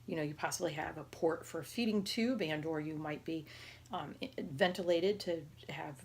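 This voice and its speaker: A woman around forty speaks in a low, gravelly voice with a noticeable rasp. She talks informally to her viewers as a video diarist, rambling and pausing as she finds her way through each thought. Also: a little mellow. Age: 30s or 40s. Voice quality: raspy. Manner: halting, rambling, conversational. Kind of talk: casual personal health vlog.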